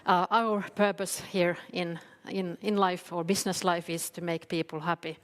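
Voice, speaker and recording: A woman's voice: lowish voice, flat delivery, normal speed. A woman from Finland giving a conference talk, hesitant, with many fillers and restarts. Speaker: keynote speaker. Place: event hall. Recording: long hall reverb.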